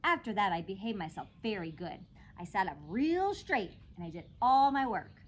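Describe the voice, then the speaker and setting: A woman's voice: medium pitch, big pitch swings, normal speed. A woman reading a children's book aloud as a storytime reader.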